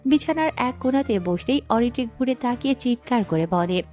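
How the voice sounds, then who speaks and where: A woman's voice: on the high side, expressive, normal speed, warm tone, soft background music. A woman narrating a scripted dramatic story as its storyteller.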